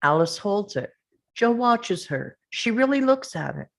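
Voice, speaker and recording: A woman reading the action and description at a script table read over a video call, in a measured, plain way. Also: mid-range voice, sing-song, gravelly.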